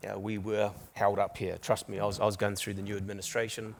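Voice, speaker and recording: A man's voice: fairly low pitch, monotone, fast talker, gravelly, slight background noise. A man with a New Zealand accent, speaking candidly as a panelist at a business forum, with restarts. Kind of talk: panel discussion. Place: conference stage.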